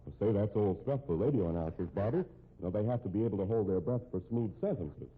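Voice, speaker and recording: A man's voice: on the low side, fast, husky. A man working as a radio announcer in 1950s broadcast style, heard on a muffled old recording.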